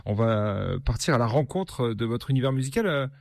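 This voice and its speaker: A man interviewing a guest in a radio studio, asking halting questions with frequent fillers. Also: medium pitch, animated, vocal fry, darkish tone, dry recording.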